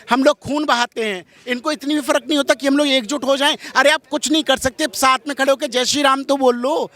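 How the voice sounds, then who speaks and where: Guy with a really high sharp voice, fiery interview answers, combative, keeps throwing rhetorical questions. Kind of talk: impassioned street interview answers.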